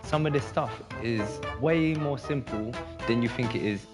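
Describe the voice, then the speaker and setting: A man hosts a food show in a relaxed, encouraging voice-over. His pitch sits mid-range, with music playing underneath. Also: measured pace. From London, England. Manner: easygoing, encouraging. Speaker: cooking show host. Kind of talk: presenter voice-over.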